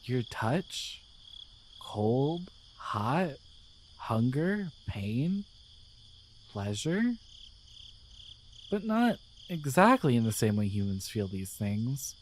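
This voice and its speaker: A performer voicing a gentle, devoted guardian angel in a romantic ASMR roleplay, speaking tenderly and hesitantly with earnest pauses. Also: mid-range voice, big pitch swings, slight background noise. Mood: wondering, tender. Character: gentle, devoted guardian angel, newly feeling, shyly in love.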